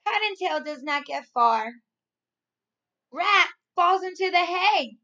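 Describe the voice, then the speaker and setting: A woman in her thirties, a primary school teacher reading and explaining to young children in an expressive, clearly enunciated way. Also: extremely high pitch, takes it slowly, dull audio. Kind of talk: read-aloud story and lesson for young children. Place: small furnished room at home, indoors.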